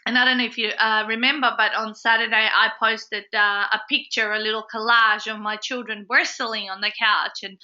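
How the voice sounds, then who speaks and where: A bright, sharp-toned woman with a fairly high voice talks casually to members on a live call, filling her sentences with ums and uhs. She has a slight cold that makes her a bit nasal, and the call audio is dull and lo-fi. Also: steady tone, moderate pace, few pauses. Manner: chatty, with many ums and uhs. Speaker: host of a business support group call. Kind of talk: casual webinar talk to members.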